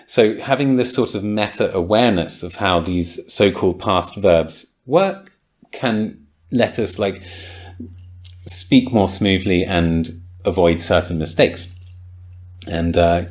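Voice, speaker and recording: A man with a slightly low voice talks through grammar for a podcast. He thinks aloud, with frequent ums and likes, and sounds keen when an idea clicks. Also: takes it slowly, darkish tone. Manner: thoughtful, enthusiastic, many ums and likes. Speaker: podcast host and English teacher. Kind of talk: casual explanatory podcast talk.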